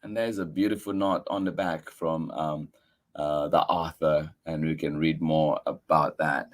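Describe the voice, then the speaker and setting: A man reading a picture book aloud for children, expressive and earnest, with emphatic delivery. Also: extremely low pitch, measured pace.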